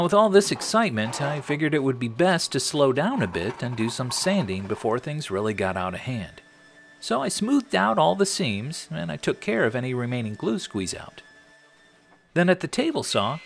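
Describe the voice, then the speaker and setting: A man's voice: mid-range voice, big pitch swings, moderate pace, vocal fry, slight background noise. A middle-aged American man narrating a scripted woodworking tutorial in a wry, tongue-in-cheek manner. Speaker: host of a woodworking channel.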